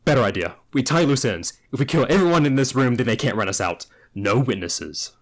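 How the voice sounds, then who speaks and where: A man's voice: mid-range voice, expressive, brisk. A man voicing a ruthless, scheming animal rebel in a cartoon parody, blunt and conspiratorial, with music behind him. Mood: grim urgency. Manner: blunt, conspiratorial, foul-mouthed.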